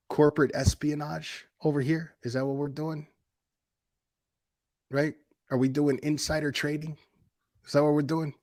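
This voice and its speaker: Man, medium pitch, sarcastic, emphatic, repeats himself, casual reaction commentary, very little room sound. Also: a little mellow, no room sound, studio quality. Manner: sarcastic, mocking, emphatic repetition; profane. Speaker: host of a commentary stream.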